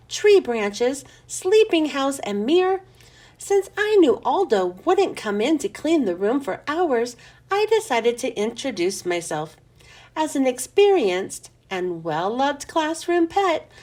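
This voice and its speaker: A woman reads a children's story aloud in a high voice. Her intonation swings widely, and she narrates expressively, shaping each sentence for young listeners. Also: a little mellow. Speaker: children's book read-aloud host.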